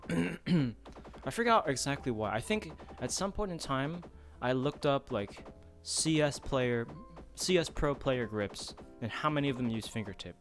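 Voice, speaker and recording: A man's voice: mid-range voice, lively intonation, measured pace. Casual male streamer with music behind him. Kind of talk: casual livestream chat while gaming.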